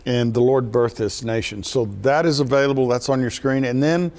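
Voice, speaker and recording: American guy hosting a faith show, middle-aged, eager, average pitch. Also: fairly even intonation, moderate pace. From United States. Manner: enthusiastic, warm-hearted presenter. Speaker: broadcast host.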